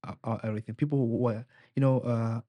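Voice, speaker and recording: A man's voice: mid-range voice, fairly even intonation, quick pace, warm tone, dry recording. Young Kenyan male guest, earnest campaign tone, dry close sound. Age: young adult. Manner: rallying, earnest, mixes Swahili phrases. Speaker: political aspirant guest. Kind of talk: political interview.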